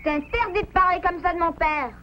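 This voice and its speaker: A woman's voice: very high voice, brisk. A woman voicing an earnest young boy beaver in a French cartoon dub. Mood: indignant. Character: earnest young boy beaver, worried about his father. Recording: dull lo-fi cartoon soundtrack, faint noise.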